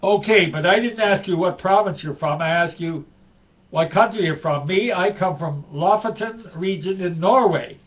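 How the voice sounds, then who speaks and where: A man playing a bewildered Norwegian tourist, clumsy English, slightly high voice. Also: moderate pace, muffled recording, natural voice. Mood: puzzled. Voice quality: broken English.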